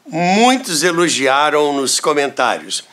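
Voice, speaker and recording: A man's voice: on the high side, very animated, takes it slowly, fairly bright tone, soft background music. A man hosting a history show, narrating from a script.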